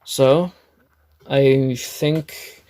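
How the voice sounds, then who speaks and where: Raspy man rambling through a fan story. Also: medium pitch, fairly even intonation, very slow, mellow. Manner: rambling, self-correcting, swears. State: dry throat. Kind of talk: improvised fan story narration.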